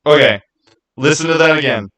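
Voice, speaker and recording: Brief: male streamer, slightly high voice, casual live talk on game lore, emphatic and repetitive. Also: brisk, fairly bright tone, faint music behind.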